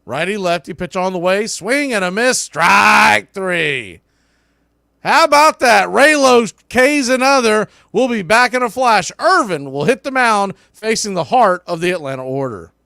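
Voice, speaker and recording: A man's voice: high voice, animated, measured pace, creaky, bright, forceful tone, no room sound, studio quality. A man calling a baseball game play by play in clipped phrases that build on big plays. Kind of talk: live play-by-play commentary.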